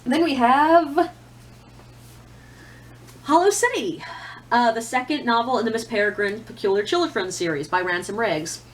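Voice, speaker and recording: A woman's voice: a little high, measured pace, pauses often. Chatty, opinionated woman talking books. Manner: conversational, opinionated, self-deprecating asides. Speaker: book reviewer. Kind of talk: casual chatty video monologue.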